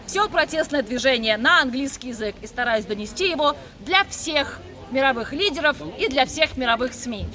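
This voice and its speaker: A woman's voice: high voice, animated, moderate pace, bright, forceful tone, soft background music. A woman from Belarus describing, energetically, how she translates a protest movement for the world. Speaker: translator and activist. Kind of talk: short personal testimony. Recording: crowd noise behind.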